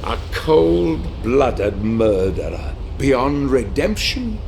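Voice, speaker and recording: A man's voice: mid-range voice, very animated, extremely slow, gravelly, a little mellow, a little hiss or hum, heavily acted. A man playing a treacherous, power-hungry sorcerer lord in a video game, pompous and taunting, savoring every phrase. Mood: cruel triumph. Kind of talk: dramatic video game villain monologue. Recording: dramatic music behind the voice.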